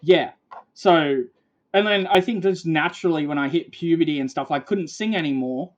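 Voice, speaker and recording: High-voiced young Australian man. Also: moderate pace, dull audio. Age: 20s. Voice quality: high-pitched voice. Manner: rambling, filler-heavy storytelling. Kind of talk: casual chat.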